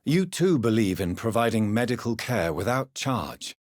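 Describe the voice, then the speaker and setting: An actor playing a grave, courteous vampire doctor in a video game, with a British accent, a husky voice and formal, measured phrasing. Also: male voice, on the low side, sing-song, no echo, clean studio sound. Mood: measured, probing. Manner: formal, measured, polite, probing. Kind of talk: dramatic video game dialogue.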